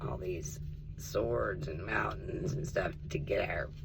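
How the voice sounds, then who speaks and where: A rough-voiced man with a low, gravelly tone, sounding worn out. He talks casually and earnestly to the camera, slipping into soft whispers. Steady noise hisses underneath the recording. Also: slow. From Tennessee. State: rough voice needing rest. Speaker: vlogger. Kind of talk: casual spiritual vlog and storytime.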